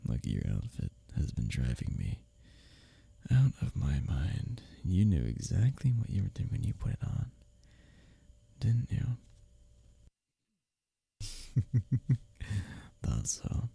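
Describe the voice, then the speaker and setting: A man acting as a smitten, teasing workplace rival in an intimate romantic roleplay, with a breathy, husky, halting delivery that often drops toward a whisper. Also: deep voice, wildly swooping pitch, warm tone, dry recording, exaggerated voice. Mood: seductive.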